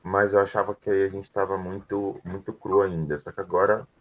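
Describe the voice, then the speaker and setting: Male language teacher, lower register, patient step-by-step explainer. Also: flat delivery, a little fast. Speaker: French teacher. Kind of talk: explaining grammar in an online class.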